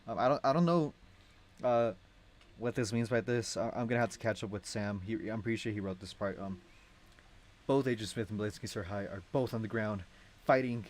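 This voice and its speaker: A man's voice: on the low side, fairly quick. Young guy doing a loose read-along of a script, informal and kinda amused, keeps cutting himself off. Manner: informal, self-interrupting, amused. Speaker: narrator reading a script.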